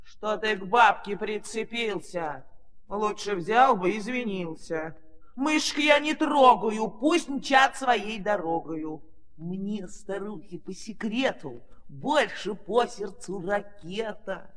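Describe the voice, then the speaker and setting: High voice, slow, eccentric old witch, grumbling rhymes, fairy-tale play, long reverb. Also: animated, fairly bright tone, exaggerated voice. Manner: slow, theatrical rhymed speech. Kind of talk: musical fairy-tale audio play acting. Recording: reverberant hall, faint music behind.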